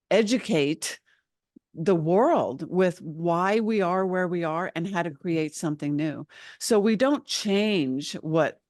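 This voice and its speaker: A woman's voice: sits low, darkish tone, no room sound, studio quality. Earnest woman channeling a collective. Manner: speaks as a collective "we", earnest and insistent. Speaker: spiritual channel guest. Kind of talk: channeled spiritual teaching.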